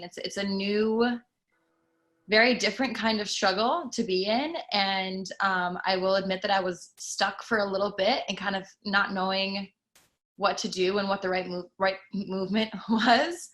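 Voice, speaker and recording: A woman's voice: mid-range voice, a bit bright. A woman in her thirties from Costa Rica, a fitness instructor talking earnestly about her work in a casual panel chat. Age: 30s. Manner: earnest, reflective, frequent fillers.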